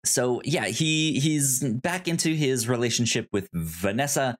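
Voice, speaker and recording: A man hosting a podcast, casually recapping movies in a halting, stammering way with repeated word starts. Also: medium pitch, animated. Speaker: podcast host.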